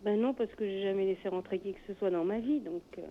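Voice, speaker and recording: Caller, woman, 50s, native Arabic speaker, halting and hesitant, tinny phone audio. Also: mid-range voice. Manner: hesitant, halting, many restarts. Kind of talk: hesitant personal talk.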